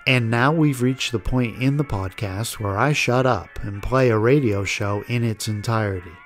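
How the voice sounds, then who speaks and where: A man's voice: mid-range voice, normal speed, music in the background. A man hosting a podcast with scripted documentary narration. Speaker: podcast host.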